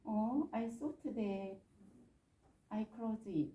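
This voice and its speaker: A woman's voice: mid-range voice, slow pace, reverberant. A woman, an adult learner carefully reciting a rehearsed English dialogue. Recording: tinny distant line, noisy.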